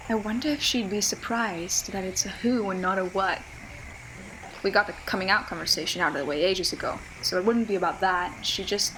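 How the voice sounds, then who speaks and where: A woman's voice: mid-range voice, lightly acted. A young woman playing a stubborn, regretful survivor who confides reflectively in an audio drama monologue. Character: regretful, stubborn young woman missing someone she lost. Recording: clearly noisy, ambient background noise.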